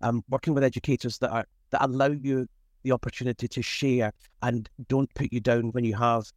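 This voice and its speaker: A man's voice: mid-range voice, flat delivery, brisk, darkish tone, no room sound. A man in his fifties giving casual, reflective interview answers in a modest, rambling, conversational way. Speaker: podcast guest, food safety executive.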